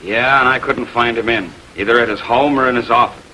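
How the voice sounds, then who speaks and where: An actor playing a wisecracking homicide lieutenant in a 1940s American TV crime drama, blunt, slangy and wry. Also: male voice, mid-range voice, steady tone, brisk, pushed and bright, slight background noise, natural voice, poor audio.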